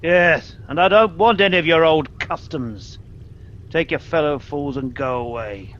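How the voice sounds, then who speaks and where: A man's voice: a little high, unhurried, a bit bright, highs cut off. An actor playing a grumpy, miserly old businessman in a radio play, curt and scornful as he barks his words. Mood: curt, bossy. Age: older.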